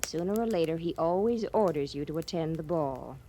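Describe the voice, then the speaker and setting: Frontier woman, vintage radio western: composed, wry, understanding, lowish pitch, teasing lightly, slight recording noise. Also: animated, fairly quick. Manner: gently teasing, self-possessed. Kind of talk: 1950s radio western acting.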